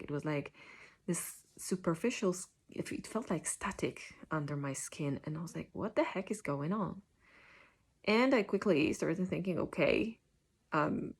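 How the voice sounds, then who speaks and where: Low-voiced Polish woman, hesitant. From Poland. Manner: hesitant, many ums and restarts. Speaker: vlogger sharing a personal story. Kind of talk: casual personal monologue.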